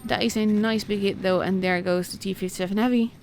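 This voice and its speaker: Female esports streamer, Dutch accent, medium pitch, informal play-by-play with a chatty manner, music underneath. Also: fairly even intonation, fairly quick, a little mellow. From Netherlands. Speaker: esports commentator and stream host. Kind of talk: casual live game commentary.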